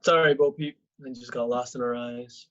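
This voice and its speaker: A man's voice: medium pitch, fairly even intonation, moderate pace, lo-fi sound, lightly acted. A man playing a cocky, oblivious young actor and ladies' man in a table read of a comedy script, with a breezy, boastful manner.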